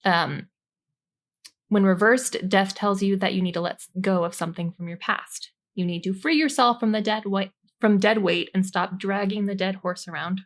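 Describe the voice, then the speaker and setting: A woman's voice: mid-range voice, moderate pace. Informal, jokey woman podcast host. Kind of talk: casual chat explaining tarot cards from notes.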